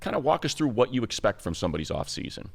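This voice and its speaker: A man's voice: medium pitch, sing-song, fast, vocal fry. An American man co-hosting a sports show, asking questions in a casual, conversational interview style.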